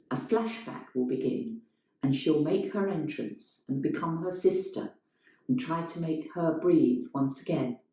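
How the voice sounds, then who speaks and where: A woman reading literary fiction aloud as a storyteller, in a measured, dramatic way. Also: sits low, warm tone. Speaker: story reader. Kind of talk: scripted literary narration, performed reading. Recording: very echoey room.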